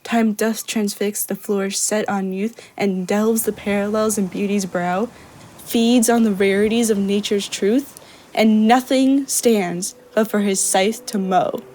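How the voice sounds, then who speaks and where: A young woman performing a reflective dramatic monologue in verse, with measured delivery. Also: medium pitch, steady tone, a little mellow, a little hiss or hum, lightly acted. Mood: solemn. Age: young adult.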